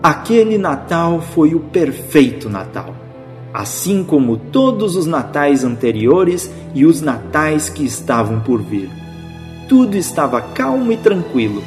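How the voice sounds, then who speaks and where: A storybook narrator played by a man delivers scripted audio drama narration. His intonation is lively, set in long reverb over clear music. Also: fairly high pitch, a little slow. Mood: serene. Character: storybook narrator closing a Christmas adventure.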